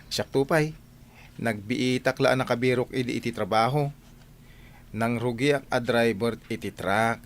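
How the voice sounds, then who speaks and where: A man's voice: medium pitch, a little slow, natural voice. An actor playing a boastful, flirtatious driver courting a woman in a radio drama, with an Ilocano accent. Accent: Ilocano, northern Philippines.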